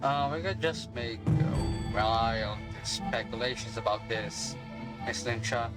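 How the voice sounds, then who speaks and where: A casual game streamer with a medium-pitched voice, reacting in a playful, slangy way. The delivery is slow and drawn-out. Loud game music runs behind the commentary. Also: fairly even intonation.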